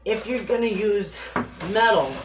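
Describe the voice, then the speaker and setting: A woman's voice: medium pitch, measured pace. A woman chatting homily to her viewers as she preps food in her home kitchen. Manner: chatty, homey, talks straight to viewers. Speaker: homesteading cooking vlogger. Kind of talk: casual kitchen demonstration. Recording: echoey kitchen with clear background noise.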